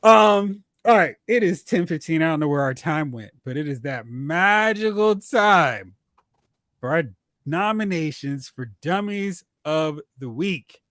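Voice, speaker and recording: Animated man hosting a casual movie podcast. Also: fairly high pitch, a little slow, no echo, clean studio sound. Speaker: podcast host. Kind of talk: casual movie talk banter.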